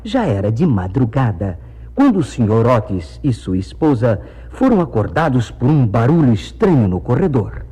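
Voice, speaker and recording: A man's voice: mid-range voice, sing-song, moderate pace, mellow, slightly rough. An actor playing the male narrator of a suspenseful radio mystery tale. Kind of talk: radio drama storytelling.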